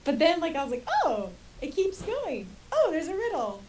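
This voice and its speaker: A woman's voice: high-pitched, sing-song, normal speed, a little mellow. A woman co-hosting a podcast, chatting casually as a fan in an informal discussion. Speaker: podcast co-host. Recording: distant mic with faint hiss.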